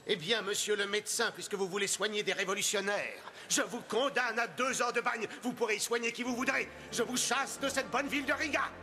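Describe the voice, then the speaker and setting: A man playing a harsh, pompous court judge in an animated series, speaking in curt commands. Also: high-pitched, fairly quick, hardly any pauses, faint music behind. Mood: angry, imperious. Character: harsh, imperious male court judge who coldly hands out sentences. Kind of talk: French animated series dub acting.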